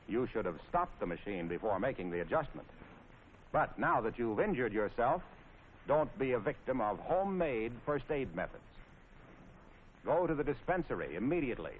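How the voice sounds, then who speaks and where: An American man narrating in 1940s training film style, wry, folksy and playfully sarcastic. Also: medium pitch. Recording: scratchy, tinny 1940s film soundtrack with noise.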